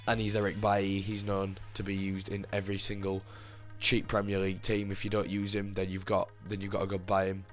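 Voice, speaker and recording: A man hosting his gaming channel, talking casually about his team with a slightly hoarse voice, rambling with lots of fillers and restarts. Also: deep voice, monotone, a little fast, few pauses, faint music behind. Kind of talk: casual gameplay commentary.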